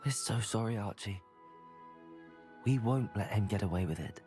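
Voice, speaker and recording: A woman playing a calm, caring young British student witch in a video game, polite and measured. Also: extremely low pitch. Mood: calm, sympathetic. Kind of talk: video game character dialogue. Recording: music behind the voice.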